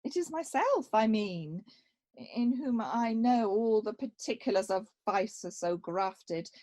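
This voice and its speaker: Radio Shakespeare: young exiled prince female actor, medium pitch, unhurried and weighty, grave and self-accusing. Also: animated, warm tone, natural voice. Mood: self-accusing, intense. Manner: deliberate, dramatic verse delivery with weighty pauses. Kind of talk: radio play Shakespeare acting.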